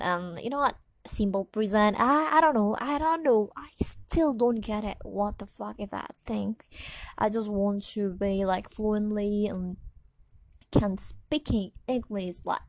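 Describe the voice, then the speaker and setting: A woman's voice: medium pitch, vocal fry, no room sound. A young woman from Indonesia speaking English with an Indonesian accent, rambling sleepily through a personal podcast with many fillers. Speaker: podcast host.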